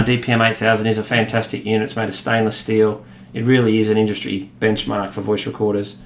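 A man in his thirties with an Australian accent, explaining a software demo in a relaxed way, with many fillers. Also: on the low side, slight background noise. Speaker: product demonstrator. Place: office room, indoors. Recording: echoey room with faint ambience.

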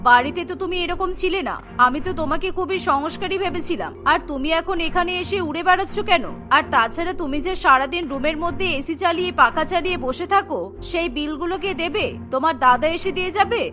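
A woman's voice: very high voice, quick pace, sharp tone, soft background music. A woman voicing a greedy, stingy middle-aged mother-in-law in a cartoon, nagging and sarcastic. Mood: scolding.

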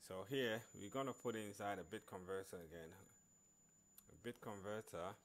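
A man narrating an informal programming screencast in a halting way, repeating words as he works. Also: fairly low pitch. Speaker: programming tutorial presenter. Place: screen recording voice-over.

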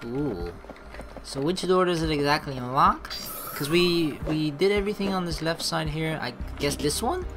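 A woman's voice: low-pitched, moderate pace. A woman playing through a game, reading the story aloud and making casual, swearing asides. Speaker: let's play streamer. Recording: game music behind.

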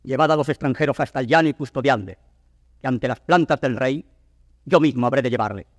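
A man's voice: mid-range voice, a little fast, no room sound, clean studio sound, big-room sound, close to an everyday voice. An actor playing an anguished nobleman and father in classical verse theatre, declaiming solemnly. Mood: commanding. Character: anguished noble father torn between honour and love.

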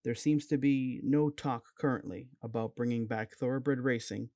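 Male voice-over narrator, mid-pitched and even, reading a history script in a dead-dry booth. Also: normal speed, no echo. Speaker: documentary narrator.

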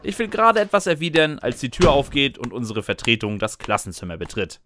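A man's voice: medium pitch, big pitch swings, fairly quick, fairly bright tone, slight background noise. A man playing a sarcastic student who narrates his own chaotic life in scripted comic first person. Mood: wry narrating. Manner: wry, sarcastic. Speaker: narrator and lead character.

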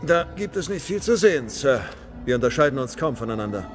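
A man's voice: medium pitch, big pitch swings, a little fast, over music, close to an everyday voice. A man playing an anxious, dutiful clone soldier in an audio play, with an urgent military manner. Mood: doubtful. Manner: clipped, urgent military address. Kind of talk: dramatised audio play acting.

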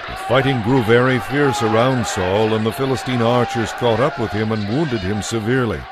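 A man narrating a dramatized Bible as scripted storytelling, in a solemn, measured manner. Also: mid-range voice, heavy background noise.

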